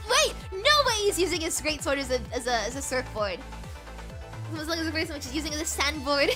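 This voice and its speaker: A woman streamer with a high, bouncy voice reads story dialogue aloud, rough and hoarse from a cold. She gets excited, stumbles over words, and gushes as she goes. Game music plays clearly underneath her.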